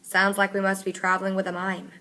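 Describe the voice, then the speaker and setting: A woman's voice: mid-range voice, completely flat delivery, quick pace, bright, forceful tone, close to an everyday voice. A woman voicing an easygoing teenage boy with a dry, joking manner. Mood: wry.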